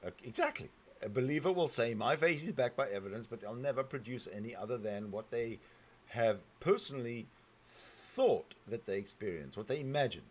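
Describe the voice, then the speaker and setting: A man hosting a talk show, commenting on a clip and jumping in with emphatic, sometimes halting remarks. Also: mid-range voice. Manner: animated, emphatic, swings loud and soft. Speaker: show host. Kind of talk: commenting over a played clip.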